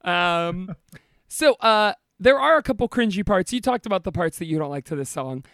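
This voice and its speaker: A man co-hosting a casual podcast, swearing and joking, with his voice going hoarse. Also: high-pitched, lively intonation, moderate pace, fairly bright tone, no echo, clean studio sound. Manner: swears often, jokey, laughs a lot. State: losing his voice. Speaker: podcast co-host.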